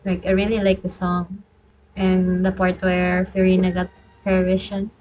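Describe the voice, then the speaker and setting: A woman game streamer giving casual reaction commentary, halting and trailing off mid-thought. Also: fairly low pitch, completely flat delivery, darkish tone, muffled recording, slight background noise. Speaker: gaming streamer reacting to a story quest. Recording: game music faintly behind.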